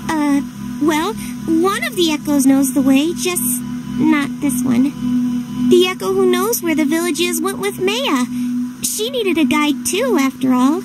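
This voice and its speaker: A tiny, cutesy game creature with an extremely high, childlike voice. The pitch swoops wildly as it talks, excitable and hesitant. Loud music plays behind. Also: female voice, unhurried, exaggerated voice, poor audio. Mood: flustered. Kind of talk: video game character acting.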